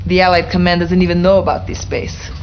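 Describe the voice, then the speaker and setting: An actress playing a grave, patriotic Soviet intelligence officer giving dramatic briefings in a game cutscene. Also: female voice, fairly low pitch, monotone, fast, muffled recording, a little hiss or hum, natural voice. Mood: grave, urgent.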